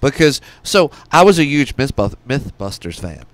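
Lively, foul-mouthed man chatting. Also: medium pitch, moderate pace, no echo. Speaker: podcast guest who works as an audio engineer. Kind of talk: casual chat. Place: small podcast studio. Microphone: close podcast microphone with headset.

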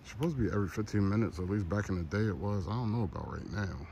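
A man's voice: on the low side, rapid-fire, dark tone. A man, rambling casually in slangy, offhand, joking vlog chat. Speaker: podcast host and photographer. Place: outdoors by trolley tracks on a trestle. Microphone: handheld phone at arm's length. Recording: noisy outdoor phone recording. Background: clear outdoor ambient noise.

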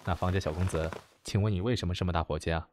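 A man's voice: low-pitched, steady tone, very fast, a little mellow, a little hiss or hum, close to an everyday voice. An actor playing a doting, lovestruck young man in an audio drama, indulgent and emotional. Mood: gently coaxing.

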